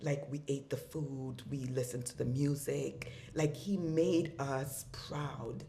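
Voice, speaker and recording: A young woman from Congo talks as a guest on a podcast, her voice sitting notably low. She is animated and casual, and she keeps tossing in "like" and "right?" as she goes. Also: takes it slowly, gravelly. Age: young adult. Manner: animated, frequent "like" and "right?" fillers. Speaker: guest, fashion designer and entrepreneur.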